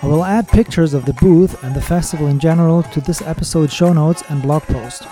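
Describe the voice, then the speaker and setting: A man hosting a podcast, reading his scripted episode introduction and news in a measured, steady way. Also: fairly high pitch, music in the background. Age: adult with children. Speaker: podcast host.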